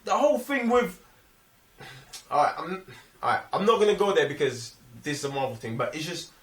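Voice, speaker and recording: London-accented man, expressive, animated pitch, slangy casual discussion, co-host, roomy distant recording. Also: a little fast. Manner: expressive, checks listener understands. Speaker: film review podcast co-host. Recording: echoey room, distant microphone.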